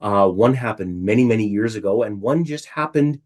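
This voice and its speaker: A man with a somewhat low voice that has gone raspy from illness talks through a casual webinar presentation from his home. He is middle-aged and chatty, and he keeps checking in with rhetorical questions. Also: expressive, normal speed, a little mellow.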